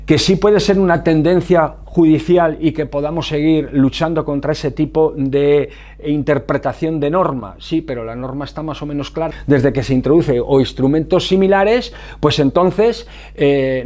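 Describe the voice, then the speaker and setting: Higher-pitched Spanish man explaining things. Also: moderate pace, darkish tone. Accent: Spanish from Spain. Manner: didactic, emphatic, asks and answers own questions. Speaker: motorcycle safety vlogger. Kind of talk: informal explanatory monologue to viewers.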